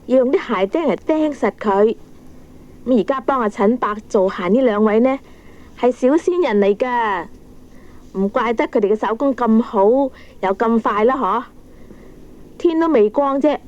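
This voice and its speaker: A woman storyteller with a Hong Kong Cantonese accent speaks in a fairly high voice. She explains the tale directly to children, on a slightly muffled old cassette recording. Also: a little mellow. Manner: addresses young listeners, explanatory. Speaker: storyteller narrator. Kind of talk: scripted children's story narration.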